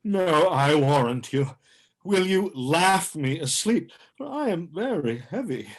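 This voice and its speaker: An actor playing a talkative, good-natured old courtier with a husky voice in a Shakespearean radio play, idealistic and gently teasing. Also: mid-range voice, big pitch swings, takes it slowly, full character voice. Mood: earnest, teasing.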